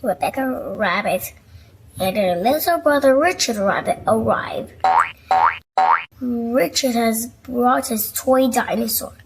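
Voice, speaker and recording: A woman with a high, slightly creaky voice reads a children's story. She takes her time, expressive and clearly addressing little listeners. There is faint noise in the recording. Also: slow, low-quality recording. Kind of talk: scripted read-aloud bedtime story narration.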